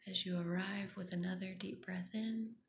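A woman guiding a meditation in a soft, breathy near-whisper, gentle and soothing. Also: mid-range voice, fairly even intonation, normal speed, slight background noise. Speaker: meditation guide, podcast host. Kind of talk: guided meditation.

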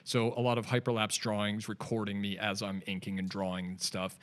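A man's voice: fairly low pitch, little pitch movement, brisk, a bit bright. A man in his forties with a Canadian accent, chatting loosely as a podcast guest in a studio, with lots of fillers and restarts. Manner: rambling, laid-back, many fillers and restarts. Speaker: podcast guest, digital artist. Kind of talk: casual interview chat.

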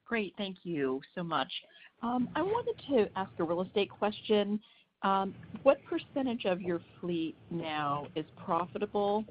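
A woman's voice: mid-range voice, big pitch swings, measured pace, a little hiss or hum. A woman analyst asking a direct, polite question on an earnings call. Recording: muffled conference call line.

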